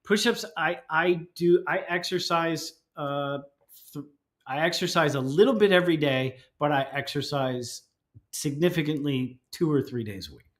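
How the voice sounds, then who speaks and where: A middle-aged man co-hosting a comedy morning show. He talks in a loose, joking way and often puts himself down. His pitch sits in an ordinary range for casual banter. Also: moderate pace. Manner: joking, self-deprecating.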